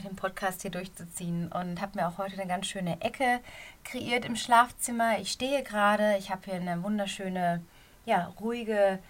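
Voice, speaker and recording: A middle-aged woman with a slight cold and a somewhat hoarse voice, a running coach giving a casual, earnest podcast talk from a quiet corner of her bedroom. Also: medium pitch. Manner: earnest, encouraging, talks straight to the listener.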